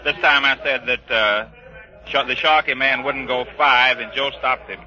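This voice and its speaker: A man answers informally in a plain, halting way. His voice is very sharp and forceful at a middle pitch. It comes through a dull vintage radio recording. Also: fairly even intonation. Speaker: boxing editor being interviewed. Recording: dull 1930s radio broadcast.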